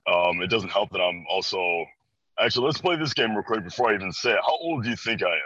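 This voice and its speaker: A man's voice: fairly low pitch, lively intonation, fast talker, pushed and bright, husky, dry recording. A man in his early twenties from Chicago with an American accent, chatting casually as an interview guest. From Chicago, USA. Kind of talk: casual chat. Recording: dry, slightly dull band-limited audio.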